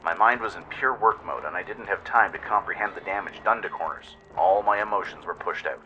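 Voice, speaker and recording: A man's voice: deep voice, brisk, bright, forceful tone, dull audio, a little hiss or hum. A man narrating a scripted dramatic first-person story in radio-play style, with an intense, theatrical delivery.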